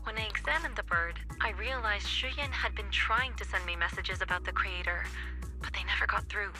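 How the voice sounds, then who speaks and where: A woman playing a stern, authoritative female master in a video game, speaking in a firm, measured, commanding way. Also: mid-range voice, sharp tone. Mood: grave, measured. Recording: background music under the voice.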